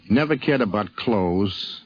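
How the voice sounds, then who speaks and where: An older man giving an informal, plain-spoken, slightly halting reminiscence on 1950s radio. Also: lowish voice, lively intonation, slightly rough, no echo, dull audio. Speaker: interviewee, cartoonist. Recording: 1950s radio recording, dulled sound.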